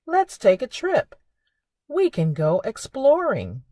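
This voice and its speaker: A woman hosting a preschool storytime, speaking in simple, expressive phrases for young children. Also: a little high, slow, no room sound, clean studio sound. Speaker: children's librarian and storytime host. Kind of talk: preschool storytime reading and narration.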